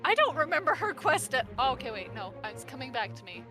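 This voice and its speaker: A high-voiced woman chats informally over clearly audible music. Her pitch moves around a lot, and she comes across as a giggly co-host in a relaxed conversation. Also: fast. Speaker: co-host discussing video game quests. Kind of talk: casual chat.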